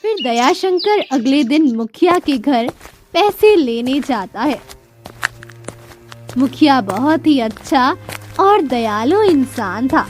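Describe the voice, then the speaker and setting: A woman narrates a children's story in a high-pitched voice. She goes slowly and deliberately, in a scripted storytelling style. Music plays behind her. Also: sing-song.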